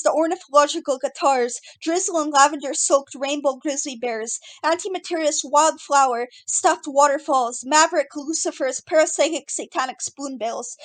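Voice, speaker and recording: A woman reading her own surreal poetry aloud in an incantatory, list-like way, stumbling over some words. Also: sits high. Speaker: poet reading own work.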